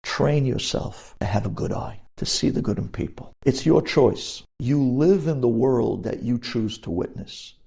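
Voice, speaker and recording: A man with a mellow, relaxed tone and a medium pitch delivers a religious lecture as a rabbi. He addresses his listeners directly and stresses his points firmly. Hebrew religious terms are woven through his sermon-like delivery. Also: a little slow, gravelly, few pauses, husky. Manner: emphatic, addresses listeners directly with rhetorical questions. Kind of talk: sermon-like lecture with storytelling and acted-out dialogue.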